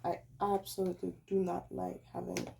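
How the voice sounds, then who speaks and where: A young woman, tired and a little hoarse, chatting casually and rambling as she taste-tests snacks at home. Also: fairly low pitch, big pitch swings, a little fast, darkish tone, a little hiss or hum. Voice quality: raspy. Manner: rambling, self-correcting, chatty. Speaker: snack reviewer vlogger. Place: at home, indoors.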